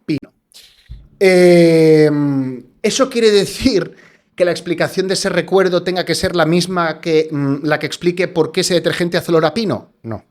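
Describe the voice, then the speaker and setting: Male guest, somewhat high voice, hesitant explainer on a podcast mic. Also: fairly even intonation, normal speed. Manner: hesitant, many fillers and rhetorical tags. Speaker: scientist guest. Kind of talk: explanatory interview talk.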